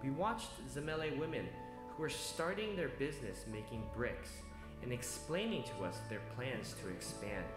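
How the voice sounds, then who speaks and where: Earnest male narrator over music. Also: medium pitch. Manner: measured, earnest storytelling. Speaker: video narrator. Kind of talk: scripted documentary narration. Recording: roomy with music behind. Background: instrumental music.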